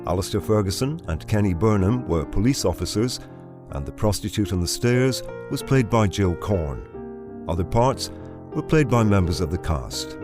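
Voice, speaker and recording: A male announcer with a fairly low voice and lively intonation. He reads scripted credits while piano music plays clearly behind him. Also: normal speed.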